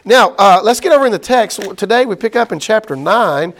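Middle-aged male Bible teacher with a somewhat high voice and an easygoing, rambling lecture delivery. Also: expressive, a little fast, fairly bright tone, a little hiss or hum. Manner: conversational, many fillers and restarts. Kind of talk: casual Bible study lecture.

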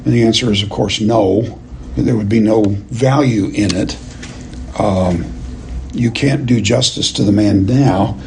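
A man teaching a Bible class verse by verse, speaking in a deliberate, halting and emphatic way and putting questions to his listeners. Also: lowish voice, vocal fry, darkish tone, slight background noise. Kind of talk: expository lecture to a class, verse by verse.

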